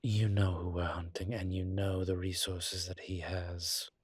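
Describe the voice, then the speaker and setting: A man playing a courteous, wry vampire with formal, hesitant phrasing and a husky voice in an audio roleplay. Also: sits low, little pitch movement, unhurried, dry recording, clean studio sound. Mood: discreet, matter-of-fact. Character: courteous, wry adult male vampire wary of daylight. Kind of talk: one-sided audio roleplay acting.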